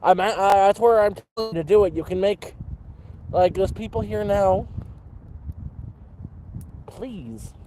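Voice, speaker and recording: Livestreamer, 30s man, mellow voice, slightly high, rambly and sweary, outdoor campfire. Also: a little slow, pauses often, slight background noise, low-quality recording. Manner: rambling, swears, talks to chat. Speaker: livestream host. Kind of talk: casual livestream chat.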